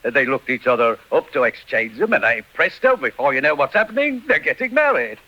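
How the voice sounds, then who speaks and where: A man's voice: medium pitch, big pitch swings, a little fast. An actor playing a garrulous, self-important village postman and bell ringer in 1940s radio style. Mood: chirpy, boastful. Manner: garrulous, cheerfully boastful. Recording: dull 1940s radio recording.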